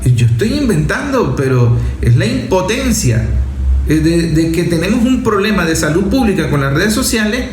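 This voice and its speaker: A man's voice: high-pitched, sing-song, moderate pace, a little mellow. A man with a Venezuelan accent giving impassioned political commentary, insistent and repetitive, driving points home with rhetorical questions. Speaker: journalist and speaker. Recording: echoey room with faint noise.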